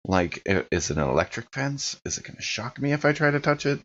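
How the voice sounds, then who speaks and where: A man's voice: medium pitch, big pitch swings, fairly quick, fairly bright tone, highs cut off. A man playing a tabletop game, talking casually and improvising his character's actions.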